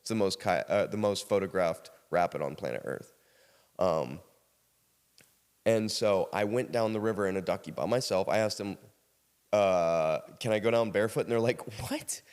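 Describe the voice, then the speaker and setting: Deep-voiced American man, reverberant room. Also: normal speed, a little mellow, clear, resonant tone. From South Carolina, United States. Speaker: podcast guest. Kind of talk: casual personal storytelling. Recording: reverberant hall-like room sound.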